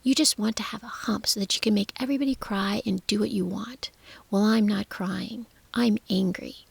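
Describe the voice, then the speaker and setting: A woman's voice: mid-range voice, fairly even intonation, moderate pace, gravelly, slightly rough, no room sound, exaggerated voice. A woman voicing a stubborn, blunt young girl who scolds in a clipped whisper. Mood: scolding.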